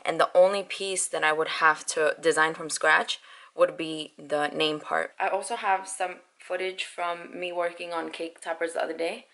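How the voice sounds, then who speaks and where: A woman's voice: sits low, fairly even intonation, moderate pace, bright, forceful tone. A woman whose first language is Spanish, chatting casually as a small business owner and explaining her craft work step by step. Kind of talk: casual vlog chat.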